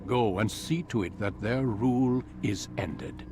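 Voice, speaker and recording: A man voicing a commanding, evasive master of an assassin order in a video game, measured and lecturing. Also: on the low side, noisy background. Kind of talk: video game cutscene dialogue. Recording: music behind the voice.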